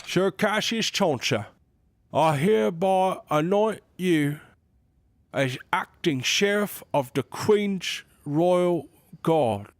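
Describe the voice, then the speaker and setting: A fussy formal royal maester. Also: male voice, high voice, expressive, no echo, exaggerated voice. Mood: ceremonial. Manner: halting, formal, clears throat. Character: fussy older male royal advisor, formal. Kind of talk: audio drama acting.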